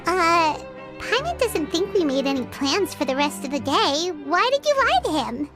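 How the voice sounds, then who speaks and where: A woman's voice: very high-pitched, sing-song, moderate pace. A woman voicing a tiny, chirpy fairy companion girl in a video game, chatty, inquisitive and childlike. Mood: curious. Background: game music.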